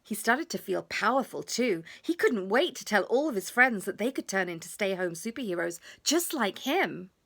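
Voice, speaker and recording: A woman reading a children's storybook expressively, with drawn-out emphasis and hushed moments. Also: mid-range voice, very animated, gravelly. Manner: dramatic pauses, drawn-out emphasis, drops to hushed tones.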